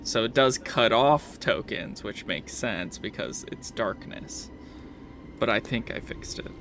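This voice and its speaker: A man narrating a tabletop game as game master in a casual, halting way, often repeating words as he explains. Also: on the low side, expressive, vocal fry, noisy background.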